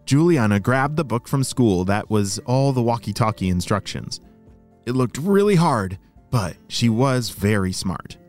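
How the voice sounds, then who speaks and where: A man's voice: medium pitch, animated, a little slow, faint music behind. A man hosting a children's story podcast, narrating and explaining things simply to young listeners.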